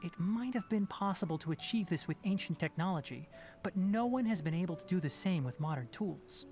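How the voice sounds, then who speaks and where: An actor playing a young, scholarly forest ranger in a video game, composed and brisk, explaining things precisely and firmly. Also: male voice, high voice, lively intonation, darkish tone. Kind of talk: video game character acting. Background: faint game music.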